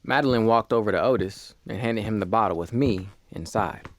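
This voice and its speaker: A man's voice: fairly low pitch, a little fast, natural voice. A man playing the ghost of a male cat, telling his own story in a wry, folksy way. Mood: wry storytelling. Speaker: narrator. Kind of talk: first-person scripted storytelling. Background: music.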